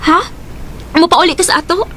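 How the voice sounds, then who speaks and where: A woman's voice: extremely high pitch, steady tone, normal speed, fairly bright tone, a little hiss or hum. An actress with a Cebuano accent playing a sweet, affectionate young female student and girlfriend. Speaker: radio drama actress. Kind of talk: Cebuano radio drama acting.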